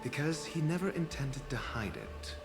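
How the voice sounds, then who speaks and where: An actor playing a haughty, scholarly doctor in a video game, speaking in a measured, condescending way as a cool, calculating informant. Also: male voice, mid-range voice, animated, close to an everyday voice. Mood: calculating, explanatory. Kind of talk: dramatic video game voice acting. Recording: roomy echo under loud music.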